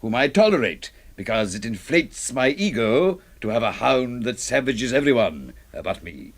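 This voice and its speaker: An actor playing a huge, pompous, drunken pub orator, florid and mocking, in a theatrical radio drama style. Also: male voice, medium pitch, very animated, normal speed. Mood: scornful boasting. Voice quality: theatrical, declamatory delivery.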